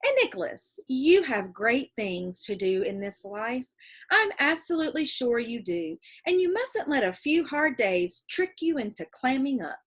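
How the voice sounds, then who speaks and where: A woman's voice: high-pitched, sing-song, husky, exaggerated voice. Woman voicing a proper, lilting older schoolteacher. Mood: proud, encouraging. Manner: deliberate, formal, emphatic. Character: older female schoolteacher, proper, commanding yet kindly.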